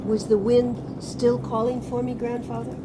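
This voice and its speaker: A woman's voice: a little high, level tone, normal speed, background noise, lightly acted. A woman voicing an eager, loving young boy who earnestly begs and questions, in a dramatic storybook read-aloud. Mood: curious, eager. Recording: music under the voice.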